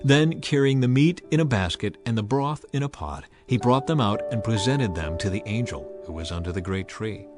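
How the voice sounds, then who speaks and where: A man's voice: lowish voice, expressive, moderate pace, hardly any pauses. A man narrating scripture as a scripted, dramatized audio reading. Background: music.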